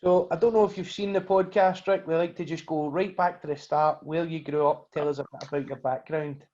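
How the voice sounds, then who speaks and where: A man hosting a podcast with a raised, mellow voice, steering the chat with short friendly questions. The audio is a little dull and lo-fi. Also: fairly high pitch, steady tone, a little fast. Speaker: podcast host. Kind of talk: casual interview hosting.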